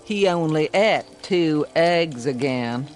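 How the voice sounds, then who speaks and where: Folksy farm mother, slow and animated. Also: low voice, faint music behind. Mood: fretful. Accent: rural Southern American. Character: worried, fussing rural farm mother. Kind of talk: radio drama acting.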